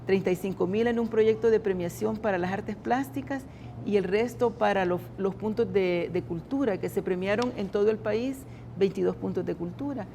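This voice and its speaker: Woman, public official in an interview, mellow tone, hesitant with frequent restarts, light hiss behind. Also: medium pitch. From El Salvador. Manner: halting, many restarts and repeated words. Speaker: government culture secretary, interview guest. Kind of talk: interview answers.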